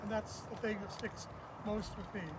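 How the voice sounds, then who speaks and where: A man with a high voice reminisces in an informal, conversational way. He is outdoors, and very heavy noise surrounds his voice. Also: level tone, normal speed. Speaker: guest sharing memories. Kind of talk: casual reminiscing. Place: outdoors in an iris garden. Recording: very noisy outdoor recording without microphone.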